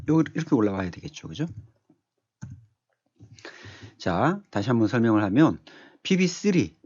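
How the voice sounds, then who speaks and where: A man giving an unscripted software tutorial as an instructor, explaining step by step and asking rhetorical questions. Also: medium pitch, no room sound, muffled recording. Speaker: automation instructor. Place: dry-sounding voice-over on a screen recording.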